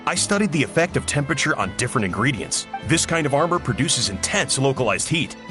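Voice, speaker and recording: Lively, practical game village chef. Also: male voice, fairly high pitch. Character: practical, knowledgeable young male village chef. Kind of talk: video game voice acting. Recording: music behind.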